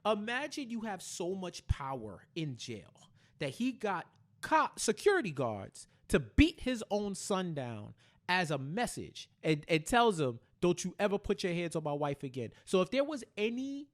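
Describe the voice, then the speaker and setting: A man with a fairly high voice chats casually as a podcast host. He retells scenes by voicing the quotes and often doubles up phrases for emphasis. The style is loose, conversational TV recap. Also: sing-song, unhurried.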